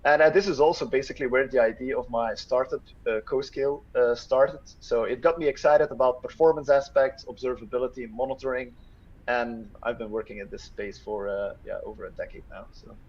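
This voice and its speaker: A man, a workshop speaker and startup founder, answers audience questions in a hesitant way with many ums and restarts, trailing off quietly at the ends. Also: mid-range voice, fairly even intonation, brisk, slight background noise. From Belgium. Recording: slightly muffled lo-fi audio.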